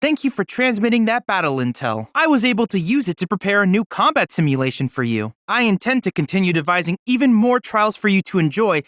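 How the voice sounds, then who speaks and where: An actor playing a polite, earnest boyish android research assistant in a video game, with formal, precise, bookish diction. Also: male voice, high voice, very animated, a little fast, hardly any pauses, fairly bright tone, dry recording, clean studio sound. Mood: helpful, eager. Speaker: game character, research guide. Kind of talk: scripted video game voice acting.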